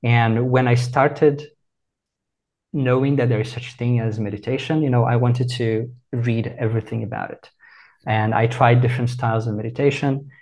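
A man, answering in a measured, reflective, teacherly way over a video call. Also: medium pitch, steady tone, a little mellow, rough recording. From Brazil. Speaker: meditation teacher, guest. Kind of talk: calm explanatory interview answers.

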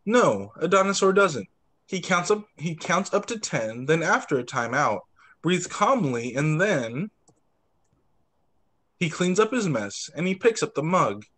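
A man's voice: on the high side, a little slow. A young man, a teacher, reads picture books aloud to small children expressively, with short asides. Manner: expressive, doing voices for dialogue, with short asides. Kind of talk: animated picture-book read-aloud. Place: indoors, on a video call.

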